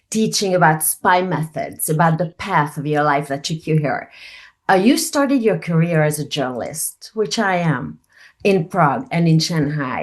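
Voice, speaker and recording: A woman hosts an interview in English, her voice sitting a little low. She asks long, measured, articulate questions. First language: Hungarian. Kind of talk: video interview.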